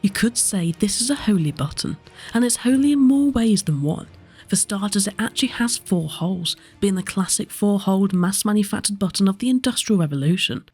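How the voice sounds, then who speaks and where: Mellow, slightly husky female narrator. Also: mid-range voice, expressive, a little fast, faint music behind. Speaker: history presenter. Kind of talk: scripted narration.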